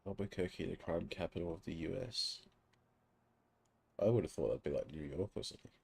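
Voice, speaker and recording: A man's voice: low voice, brisk, creaky, darkish tone, slightly rough. A man from England with an English accent, chatting casually as a live streamer while gaming, teasing and swearing casually.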